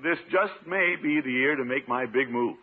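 A man's voice: mid-range voice, normal speed, close to an everyday voice. A man playing a pompous, self-important middle-aged uncle and town official in a blustery, chuckling 1950s radio comedy style. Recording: muffled, noisy 1950s radio recording.

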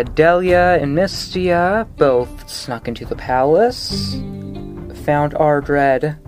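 A man recapping a tabletop game story casually, in a halting, informal storytelling manner. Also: medium pitch. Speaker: podcast co-host and game player.

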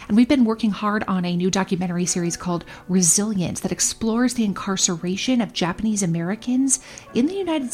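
A woman hosting a podcast, reading a scripted promo in a warm, inviting way. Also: mid-range voice. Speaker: podcast host.

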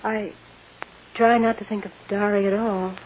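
An actress plays a young woman who is coy yet on her guard. She answers in hesitant murmurs at a medium pitch. The 1940s radio drama sounds muffled, with faint hiss. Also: flat delivery, moderate pace, close to an everyday voice. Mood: hesitant, wary. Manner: hesitant, soft murmured replies. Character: coy, wary young mother humoring a pompous suitor. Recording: muffled, hissy old radio recording.

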